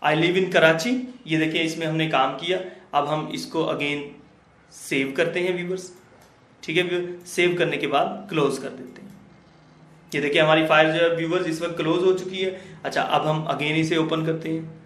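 A man giving a screen-recorded software lesson, explaining each step slowly and often checking in with his viewers. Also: fairly high pitch. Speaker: computer tutor. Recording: echoey room.

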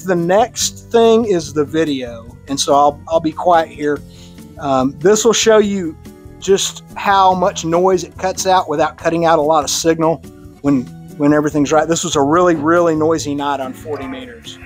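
A man's voice: fairly high pitch, moderate pace, faint music behind. A man with a Texan accent giving a casual, conversational technical talk to a radio club, with easy fillers and restarts. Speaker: club presenter on radio antennas. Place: club meeting room.

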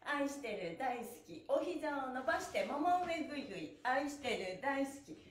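A middle-aged woman leading a stretching class with energetic, encouraging cues, often in a rhythmic chant. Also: high-pitched, moderate pace, slight background noise. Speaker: stretching instructor and livestream host. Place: small bare-walled indoor room. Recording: echoey room.

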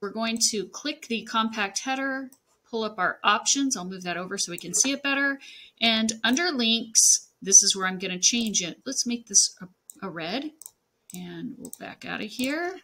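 A woman narrating a screen-share software tutorial, explaining things step by step. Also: on the high side, animated, normal speed.

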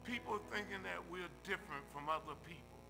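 Older preacher guy praying at the pulpit, high pitched voice, kinda gravelly, repeats phrases for emphasis. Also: music in the background. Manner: slow, measured phrasing with emphatic repetition. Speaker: church minister leading prayer. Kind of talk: public church prayer. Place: church sanctuary, at a pulpit. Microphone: pulpit microphone.

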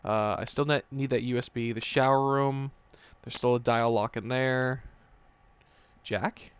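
A man's voice: mid-range voice, steady tone, a little slow, smooth, no echo. A man giving casual let's play commentary, muttering to himself in a wry, joking way and swearing now and then. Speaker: video game streamer.

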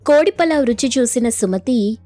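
A woman's voice: fairly high pitch, expressive, normal speed, warm tone, no echo, faint music behind. A woman narrating a scripted moral folk tale as the storyteller.

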